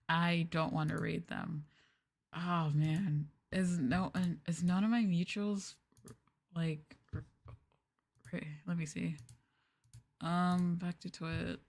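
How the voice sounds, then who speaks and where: A soft-spoken woman streamer chatting casually on an ASMR livestream in an unhurried, hesitant way, close to the microphone. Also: deep voice, steady tone, vocal fry. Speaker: VTuber streamer, host.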